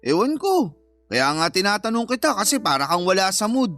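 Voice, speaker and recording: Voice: medium pitch, big pitch swings, fairly quick, fairly bright tone, faint music behind. An actor with a Filipino accent playing a talkative, easygoing live-in partner in a radio drama, protesting and a little defensive. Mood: chatty, puzzled.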